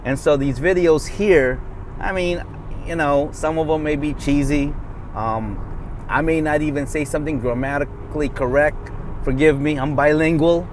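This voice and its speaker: A man's voice: on the high side, moderate pace. Middle-aged guy whose first language is tagalog, rambling off the cuff in his car, lots of ums, bit of road hum. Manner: rambling, many fillers and restarts. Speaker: vlogger. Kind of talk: casual off-the-cuff vlog talk. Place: in a car while driving.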